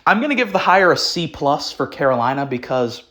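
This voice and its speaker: A young man who is sick, hosting his own sports channel and giving blunt opinions in casual talk, rambling with ums and clearing his throat. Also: a little high, very animated, a little fast, fairly bright tone. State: sick, voice sounds off.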